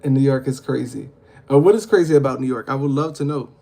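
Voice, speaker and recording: A man's voice: mid-range voice, fast talker, vocal fry, warm tone. A man from hosting a casual livestream, reading viewer comments aloud and answering them with frequent ums and uhs. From Louisiana. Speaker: livestream host.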